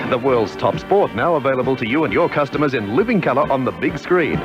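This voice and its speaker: A man announces a scripted radio commercial in 1980s style. His pitch moves in a lively way over clear music, on a muffled old tape.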